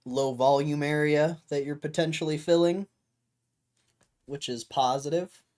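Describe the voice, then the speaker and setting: A hoarse young man hosting a livestream in a loose, rambling way, talking to his chat and often clearing his throat. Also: a little high, steady tone, a little slow, darkish tone. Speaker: livestream host.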